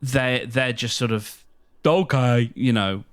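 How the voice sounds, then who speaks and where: A British man co-hosting a podcast, explaining things casually in a halting way, often hedging and correcting himself. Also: mid-range voice, a bit bright, smooth. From United Kingdom. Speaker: podcast co-host.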